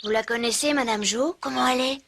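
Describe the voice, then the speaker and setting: A woman's voice: sits high, fast talker, gravelly, background noise. An actress voicing a bold, impatient, independent little girl in a cartoon, spirited and self-assured, with music behind her. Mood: cheeky, confident. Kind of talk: French cartoon dub acting.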